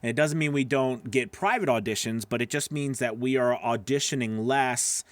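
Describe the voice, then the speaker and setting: A middle-aged man talks casually into a close broadcast microphone in a dry home studio. His voice sits at an average male pitch. He explains things like a coach hosting his own video, conversational and loosely rambling, often checking that the listener follows. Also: moderate pace, no room sound. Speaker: voice-over coach and video host. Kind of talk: casual instructional talk to camera. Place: small home studio with acoustic foam, indoors. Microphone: broadcast microphone on a boom arm, close.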